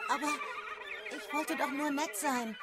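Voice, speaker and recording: A woman's voice: high voice, unhurried, a crowd in the background. A woman in a German radio drama playing a desperate, determined young child who survived a bombing. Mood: earnest, urgent.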